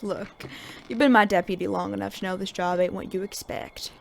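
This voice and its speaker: A woman's voice: medium pitch, steady tone, fairly quick, gravelly, close to an everyday voice. A woman playing a weary, sardonic veteran male sheriff in a Western audio drama, with an American accent and a dry folksy drawl. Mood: weary, candid.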